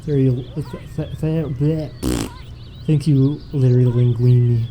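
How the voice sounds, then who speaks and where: A young man chatting casually on a game stream, reading out donation messages in a relaxed, meandering way. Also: mid-range voice, slow pace, a little hiss or hum.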